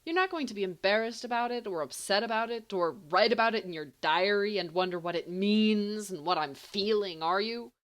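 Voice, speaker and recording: A woman's voice: medium pitch, fairly quick, few pauses, close to an everyday voice. A woman voicing a brash, joking teenage boy in audiobook dialogue, rambling and emphatic. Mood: teasing.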